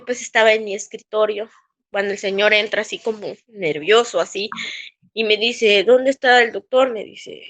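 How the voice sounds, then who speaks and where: High-voiced Mexican woman telling her story. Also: a bit bright. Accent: Mexican Spanish. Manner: acts out quoted voices, halting restarts. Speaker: interviewee recounting harassment. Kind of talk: emotional personal testimony.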